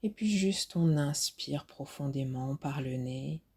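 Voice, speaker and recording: A woman instructor leading a guided relaxation meditation in a soft, soothing, unhurried way with pauses, with music behind her. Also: low voice, animated, breathy edge. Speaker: yoga nidra instructor.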